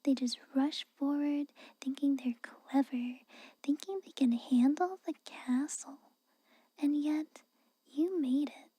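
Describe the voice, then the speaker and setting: A young tsundere castle maid, acted by a woman, whispers close and dry in an ASMR fantasy roleplay. She is haughty and guarded, chiding with reluctant care. Her breathy voice is very mellow and unhurried, with hesitant pauses. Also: high-pitched, steady tone, no room sound. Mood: grudging, reflective.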